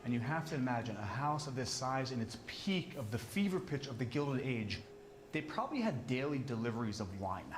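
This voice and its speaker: A man's voice: mid-range voice, very animated, a little fast. Male tour guide over faint music. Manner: explanatory, inviting listeners to imagine. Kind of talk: informal guided-tour narration.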